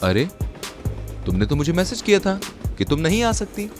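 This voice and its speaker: Man acting an animated, excitable young male passenger, loud music. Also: fairly high pitch, moderate pace. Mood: surprised. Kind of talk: animated Hindi horror story acting. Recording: loud music bed under voice.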